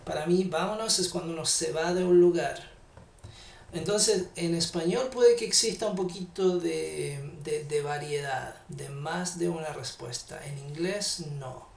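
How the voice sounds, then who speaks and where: Chilean man teaching English slowly. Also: a little high, gravelly, reverberant, distant mic. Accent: Chilean Spanish. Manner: patient, repeats English examples slowly. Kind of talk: live online English lesson.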